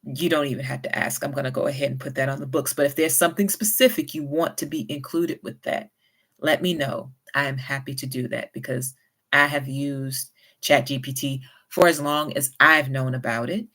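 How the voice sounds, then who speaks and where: A woman with a light Southern US accent, talking at an unhurried pace and giving friendly, encouraging advice to her viewers. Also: extremely low pitch, fairly bright tone. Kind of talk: casual advice vlog.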